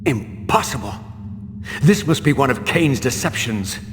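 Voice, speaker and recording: An actor playing a vengeful, brooding undead wraith in a video game, murmuring inner thoughts and snapping back defiantly. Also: male voice, medium pitch, lively intonation, unhurried, music in the background, close to an everyday voice. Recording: long hall reverb, game score behind.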